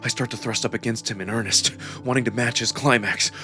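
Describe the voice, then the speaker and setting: A man performing dramatic first-person narration from a scripted story, with expressive, emotional emphasis. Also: medium pitch, fairly quick. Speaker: narrator and voice performer of a visual novel. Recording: music behind the voice. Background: music.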